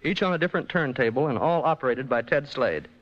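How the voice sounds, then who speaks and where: A man's voice: medium pitch, animated, fast talker. A man giving scripted explanations as a guest expert on a 1940s radio show. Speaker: sound effects department head, guest explainer. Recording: dull, noisy old radio recording.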